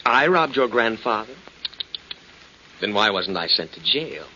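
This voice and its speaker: A sneering, mock-polite male villain from a forties radio play. His delivery swings widely and has a hard, bright edge. The old recording is muffled and hissy. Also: fairly low pitch, sing-song, measured pace, full character voice. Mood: smug, scornful. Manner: condescending, sneering, mock-courteous. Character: smug, menacing male secretary suspected of murder. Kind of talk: 1940s radio drama acting.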